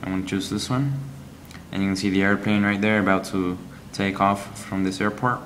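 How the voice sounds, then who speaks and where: A man reviewing a game app, walking through its screens in a halting, explanatory way with frequent ums. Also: sits low, steady tone, a bit bright, slight background noise.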